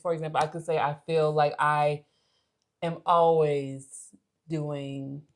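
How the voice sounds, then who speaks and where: Low-voiced woman, casual relationship talk. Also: little pitch movement, slow. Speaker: co-host of a relationship podcast. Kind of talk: casual conversational explaining.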